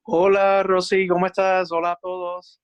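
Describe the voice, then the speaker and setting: A man's voice: high voice, little pitch movement, fairly quick, clear, resonant tone. A man whose first language is Spanish, earnestly explaining his accent goals on a video call and restarting his sentences. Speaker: workshop participant. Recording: dry, lo-fi call audio.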